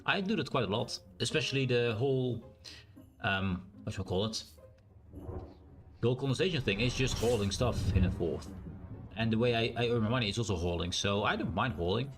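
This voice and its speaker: A man with a naturally loud voice and a strained, sore throat, thinking aloud in a rambling way as he streams a game. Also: mid-range voice, expressive, brisk, soft background music. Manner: rambling, thinks aloud with fillers and self-corrections. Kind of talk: casual live-stream gameplay commentary.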